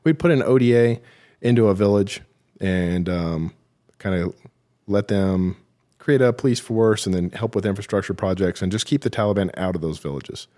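A man being interviewed as a military veteran, telling his story haltingly with self-deprecating, dry humour. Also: on the low side, dark tone. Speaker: podcast guest, military veteran.

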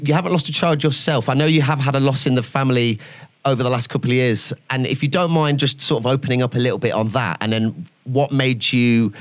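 A man's voice: mid-range voice, no room sound. A man hosting a podcast, asking questions in a chatty, rambling way. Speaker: podcast host. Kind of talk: casual podcast interviewing.

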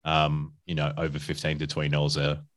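A man's voice: a bass voice, completely flat delivery, a little fast, a bit bright. A man with an Australian accent, a podcast guest talking casually and upbeat, with lots of fillers and restarts. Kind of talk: casual conversational interview.

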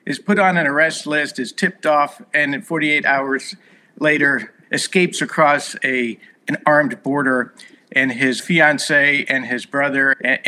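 A man's voice: on the high side, bright, forceful tone, low-quality recording. A man in his sixties whose first language was Hungarian, a guest telling long stories in a podcast interview with many fillers. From United States. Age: 60s. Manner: earnest, expansive storyteller with many fillers.

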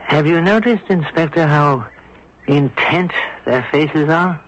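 Articulate American sleuth on muffled radio. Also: male voice, a little high, slow pace. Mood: intrigued, deliberate. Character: genial, inquisitive male amateur sleuth, fussy gourmet. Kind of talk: radio drama acting. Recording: dull, noisy 1970s radio recording.

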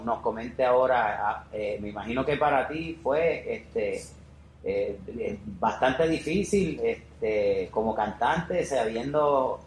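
A man hosting a podcast interview in a casual, rambling way, often repeating phrases for emphasis. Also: medium pitch. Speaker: podcast host. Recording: roomy echo with faint background noise.

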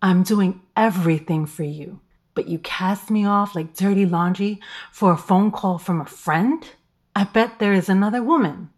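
An actress playing a seductive, possessive and manipulative lover in an audio drama, with coaxing, drawn-out phrasing. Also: female voice, mid-range voice, natural voice. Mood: jealous, wounded.

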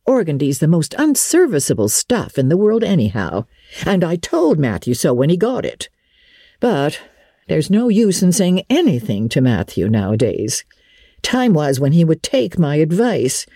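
Stern storybook older woman, played by a woman: grumbling, practical, curt, husky and dark-toned. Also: low-pitched, no echo, studio quality, pitched above the performer's own voice, full character voice. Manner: curt, disapproving, plain-spoken.

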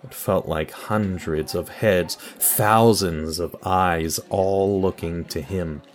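A man's voice: sits low, steady tone, slow pace. A man delivering scripted narration and announcements for an audio drama.

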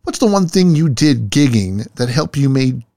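A man hosting an interview, reading scripted questions with lively, announcer-like delivery. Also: mid-range voice, normal speed, dry recording. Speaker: interview host. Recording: dry sound with faint music behind.

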